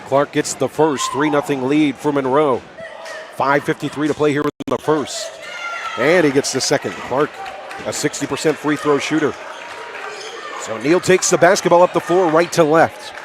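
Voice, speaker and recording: A fairly high-pitched man gives live radio play-by-play of a basketball game. He talks in clipped, excitable phrases and lifts his voice on big plays. A lively crowd and clear gym noise sit behind him. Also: moderate pace. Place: indoor high school gymnasium. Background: crowd and bouncing basketball.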